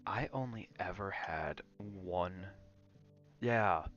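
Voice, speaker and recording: A man's voice: low voice, extremely slow, a bit bright, dull audio, faint music behind. A man chatting casually on an ASMR gaming livestream, rambling and drifting between chat and game reactions. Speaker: game streamer.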